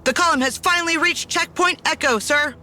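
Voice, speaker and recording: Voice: high-pitched, a little fast, close to an everyday voice. An actor playing a dutiful, worried military officer who gives formal, clipped reports in a strategy game.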